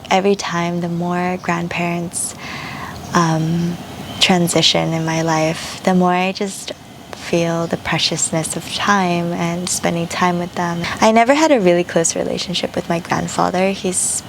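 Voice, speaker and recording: A young woman from Japan, speaking in a soft, reflective way with frequent pauses and fillers. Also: lowish voice, steady tone, a little hiss or hum, rough recording. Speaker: vlogger. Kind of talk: reflective personal voice-over.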